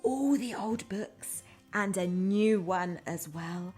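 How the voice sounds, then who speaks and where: Female storyteller, primary-teacher style: unhurried, expressive read-aloud with simple asides for little kids, soft music underneath. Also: mid-range voice. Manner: expressive, addresses young children simply.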